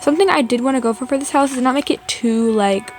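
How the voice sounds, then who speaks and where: A woman's voice: high voice, quick pace, faint music behind. A woman from Canada doing a casual, chatty voice-over as a video host, in informal speech peppered with "like". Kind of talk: casual gaming voice-over narration.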